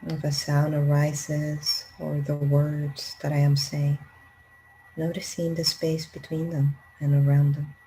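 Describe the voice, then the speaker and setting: A woman leading a guided meditation in a calm, gentle, unhurried manner with long pauses. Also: low-pitched, slight background noise, poor audio. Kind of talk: guided meditation, slow spoken instructions.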